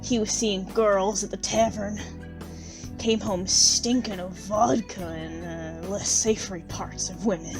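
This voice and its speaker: A woman's voice: mid-range voice, fairly even intonation, a little slow, over music, natural voice. A woman playing a poor, plain-spoken country widow, bitter and unsentimental, in an audio drama.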